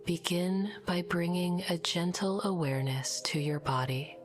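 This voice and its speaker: A woman narrating a scripted guided meditation in a soft, breathy, whispery voice, with a gentle, calm and soothing delivery. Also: low voice.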